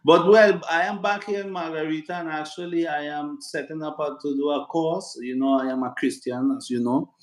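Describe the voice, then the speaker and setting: A man's voice: a little high, fairly even intonation, normal speed, few pauses. Echoey man, chatty and earnest. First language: Spanish. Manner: earnest, repeats words and fillers often. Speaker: interview guest. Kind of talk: casual interview answers. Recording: echoey room with loud background noise.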